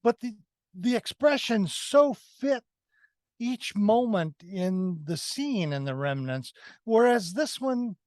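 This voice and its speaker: A man's voice: high-pitched, lively intonation, takes it slowly, darkish tone, dry recording. A man giving a conversational film critique as a review panelist, emphatic and repeating phrases for effect.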